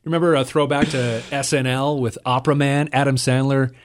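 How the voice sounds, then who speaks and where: A man's voice: medium pitch, a little fast. A man from Canada co-hosting a music podcast, rambling and restarting as he tells stories. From Alberta, Canada. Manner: rambling, repeats words, animated. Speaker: podcast co-host. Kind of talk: casual music chat.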